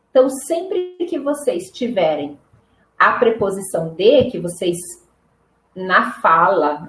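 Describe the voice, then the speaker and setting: A woman's voice: a little high, echoey room, low-quality recording. A middle-aged Brazilian woman teaching Portuguese in a live online lesson, patient and repetitive, often checking in with "né?" and "tá bom?". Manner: patient, repeats examples, frequent "né?" and "tá bom?".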